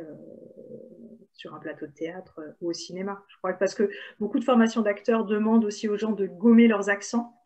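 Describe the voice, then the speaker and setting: A woman's voice: fairly low pitch, dark tone. A woman speaking as a guest on an online panel, hesitant with many fillers and restarts. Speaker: stage author and director, panel guest.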